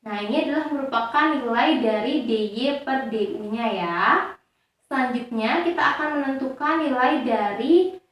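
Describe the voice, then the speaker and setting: The woman you would hear in a bare, ringing classroom in Bali, patiently walking pupils through each step in a lightly high voice. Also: normal speed. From Indonesia. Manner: didactic, checks in with frequent tag words. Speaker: high school math teacher. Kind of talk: step-by-step lesson explanation. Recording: very echoey, reverberant room.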